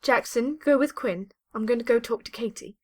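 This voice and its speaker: A woman's voice: fairly high pitch, normal speed, close to an everyday voice. A woman playing an earnest, kind and plucky young survivor in an audio drama. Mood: warm, modest.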